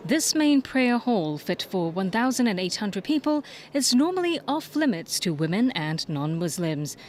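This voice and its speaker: A woman's voice: medium pitch, big pitch swings, moderate pace, a little hiss or hum. A woman anchor reading scripted television news.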